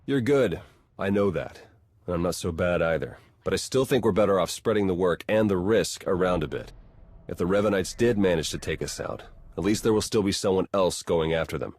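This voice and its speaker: A space opera spy for a video game. His voice sits low and is recorded dry. He comes across as a confident, easygoing ally with a wry edge. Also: a little fast, darkish tone, slightly rough, dry recording. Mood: wry, bantering.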